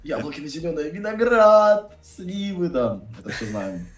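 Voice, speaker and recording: Blunt eighteen-year-old man, quick delivery, roomy, distant webcam sound. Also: on the high side, big pitch swings. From Saint Petersburg, Russia. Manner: quick, blunt, swears casually. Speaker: university economics student. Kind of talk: casual video chat. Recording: echoey room, far from the mic.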